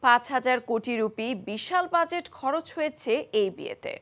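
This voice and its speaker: A woman with a Bangladeshi Bengali accent presenting a TV program in a scripted, lively read. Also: sits high, moderate pace. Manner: lively, bright read with a sign-off.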